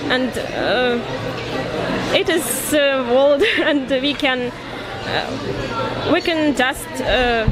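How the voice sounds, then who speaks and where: Slow, halting woman. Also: high voice, rough recording. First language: not English. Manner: hesitant, halting, searching for words in broken English. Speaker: interviewee. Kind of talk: street interview answer. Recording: crowd noise in public space.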